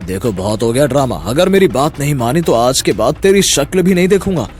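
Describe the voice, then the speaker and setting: A man's voice: mid-range voice, very animated, fairly quick, a little mellow, lightly acted. A man voicing a selfish, domineering young drunkard husband in a dramatized moral story. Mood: irritated, domineering.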